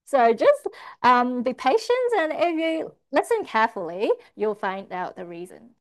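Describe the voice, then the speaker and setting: A woman's voice: fairly high pitch, big pitch swings, measured pace. A woman interpreting a church testimony, rendering the speaker's words line by line in the first person. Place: church service.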